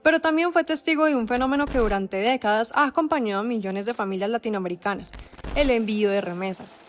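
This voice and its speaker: A woman narrating a documentary script in a measured, dramatic storytelling cadence. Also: fairly high pitch.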